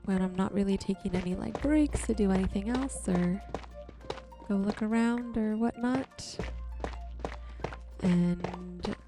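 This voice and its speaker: A woman doing casual, soft-spoken ASMR commentary while playing a game, murmuring to viewers in an unhurried way. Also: mid-range voice. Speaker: video creator and host. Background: music.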